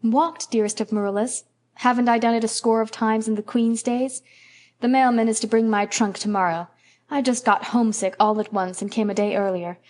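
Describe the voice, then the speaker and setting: A woman voicing a dreamy, imaginative young woman who speaks warmly and poetically. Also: on the high side, steady tone, normal speed, close to an everyday voice. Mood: delighted.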